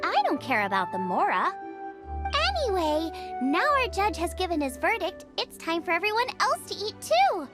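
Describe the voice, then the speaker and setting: A woman's voice: very high-pitched, wildly swooping pitch, moderate pace, over loud music, heavily acted. A woman voicing a cheerful, passionate teenage girl chef in an anime video game, with a perky, enthusiastic manner.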